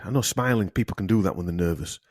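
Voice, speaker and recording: Husky-voiced man hosting a podcast. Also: deep voice, fast, a little mellow, no echo, studio quality. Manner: emphatic and opinionated. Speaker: podcast host. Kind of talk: casual conspiracy chat.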